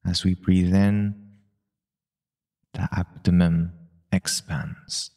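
A man leading a guided meditation, giving calm spoken instructions in short, unhurried phrases with long pauses. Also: low voice, gravelly.

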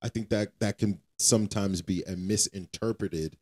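A man's voice: fairly low pitch, steady tone, normal speed, darkish tone. A man co-hosting a podcast, talking casually about faith in an informal, slangy and emphatic way. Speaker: podcast co-host.

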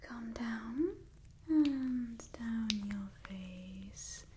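Woman playing a slow, whispering, refined vampire lady. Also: a little high, a little hiss or hum. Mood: instructive, soothing. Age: young adult. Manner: slow, genteel, gently imperious, whispering. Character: refined, doting female vampire clan leader. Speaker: ASMR roleplay performer. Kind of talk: whispered ASMR roleplay. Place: small indoor room with wooden backdrop.

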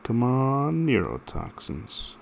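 A man's voice: mid-range voice, big pitch swings, slow, creaky, a little hiss or hum. A man in his thirties chatting casually and rambling through stories while playing a mobile fighting game, direct and full of restarts. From United States. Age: 30s. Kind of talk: casual gameplay commentary.

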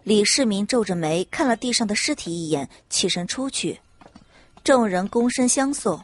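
A woman's voice: medium pitch, lively intonation, normal speed. A woman reading scripted audiobook narration as the storyteller. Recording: music under the narration.

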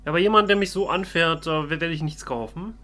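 Bright-voiced man role-playing through a game. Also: fairly high pitch, fast. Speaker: let's player. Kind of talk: improvised in-character role-play commentary on a game.

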